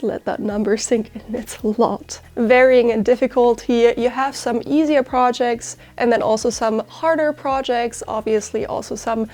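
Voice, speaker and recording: Young woman, German first language, host of a sewing tutorial, slightly high voice, cheerful step-by-step explaining. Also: a little mellow. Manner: upbeat, explanatory, chatty. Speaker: sewing teacher.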